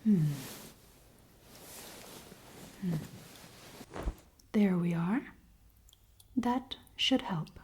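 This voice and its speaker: A woman playing a cold-hearted, commanding elven queen in a fantasy ASMR roleplay, soft and often whispering, with formal, teasing speech. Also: medium pitch, very slow, slight background noise. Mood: commanding, caring. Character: cold-hearted, dominant elven queen, haughty, secretly caring.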